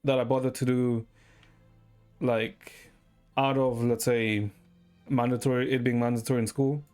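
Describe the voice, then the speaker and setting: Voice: mid-range voice, little pitch movement, unhurried, a little mellow, faint music behind. A streamer chatting casually in a hesitant, meandering way, often tagging sentences with "right?" and swearing now and then. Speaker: live streamer. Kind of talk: casual rambling stream chat.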